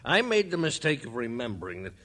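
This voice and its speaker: An actor playing a grumpy, skeptical small-town doctor with a gruff, folksy manner in an old radio drama. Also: male voice, medium pitch, big pitch swings, fairly quick, fairly bright tone. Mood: sardonic. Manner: gruff, folksy, dismissive speech. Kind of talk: 1940s-50s radio drama acting. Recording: noisy, clicky vintage radio recording.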